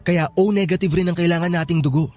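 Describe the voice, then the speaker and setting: An actor playing a calm, reassuring young male hospital worker in a radio drama, speaking soothingly and earnestly. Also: high voice, fast, faint music behind, lightly acted. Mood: calm, serious.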